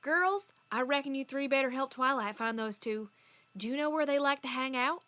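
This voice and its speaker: A woman's voice: high voice, normal speed, pushed and bright, a little hiss or hum. A woman voicing an easygoing, folksy country mare pony with a Southern US accent in a cartoon-style audio story. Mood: decisive. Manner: folksy, reassuring.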